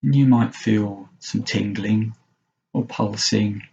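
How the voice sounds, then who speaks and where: A man guides a mindfulness meditation in a low, creaky voice. He speaks gently, leaving long pauses between short phrases. The recording is dull and slightly muffled. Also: steady tone, slight background noise. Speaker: meditation teacher.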